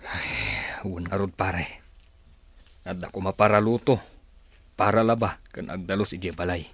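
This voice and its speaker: A low, deep male voice, recorded close and dry, a man acting as a grumbling pal in an audio drama. He talks like one confiding in a buddy. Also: expressive, measured pace, creaky, fairly bright tone, breathy edge, dry recording, heavily acted.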